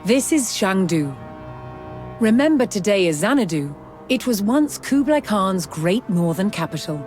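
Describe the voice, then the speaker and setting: A woman narrates in the style of a history documentary, with clear music running behind her. Her mid-pitched voice is measured and dramatic. She places deliberate pauses as she tells the story. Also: big pitch swings. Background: background music.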